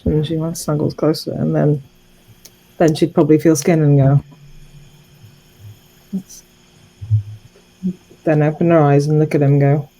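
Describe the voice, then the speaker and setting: A woman's voice: low-pitched, mellow, poor audio. A woman improvising narration of her character's actions as a tabletop player, hesitantly piecing the scene together.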